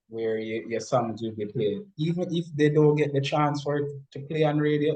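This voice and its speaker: A man with a Jamaican accent talking as an interview guest, giving advice in a halting way with repeated words. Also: mid-range voice, a little mellow, rough recording. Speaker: guest, music studio professional.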